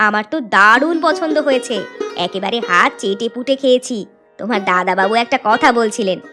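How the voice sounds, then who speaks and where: A woman's voice: on the high side, a little fast, over music, close to an everyday voice. A woman voicing a gracious, approving village matron, a landowner's wife, in a cartoon story.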